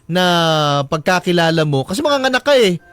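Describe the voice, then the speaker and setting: A man's voice: high voice, very animated, normal speed, a bit bright, faint music behind. A Filipino man hosting a radio advice show, bantering in a joking, teasing way. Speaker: radio talk show host.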